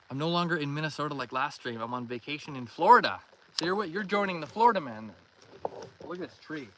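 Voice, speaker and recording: A man with a Canadian accent chats casually as a livestream host, reading out and answering viewer comments in a friendly, welcoming way. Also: a little high. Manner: friendly, welcoming, thanks and greets viewers by name. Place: outdoors in a canoe on a pond. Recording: noisy outdoor recording with water and canoe sounds.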